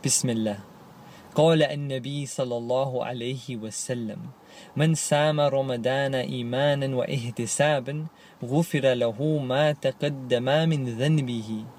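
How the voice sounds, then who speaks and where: A man reads religious sayings in a devotional manner. His voice is mid-pitched, with faint ambient noise behind it. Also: steady tone, fast talker, a little mellow. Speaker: religious reader. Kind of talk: short devotional reading in Arabic and English.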